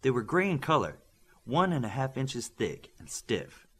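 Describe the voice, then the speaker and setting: A man narrating a scripted fiction audiobook, animated when he moves into dialogue. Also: mid-range voice, unhurried, breathy edge.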